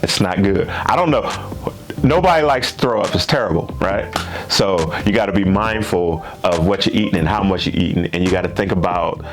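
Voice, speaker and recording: Deep voiced guy in his fifties just chatting to his viewers, keeps saying right and you know, a little music in the back. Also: brisk. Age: 50s. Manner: loose, repeats himself with tag questions. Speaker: gaming channel host. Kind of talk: casual chat to camera.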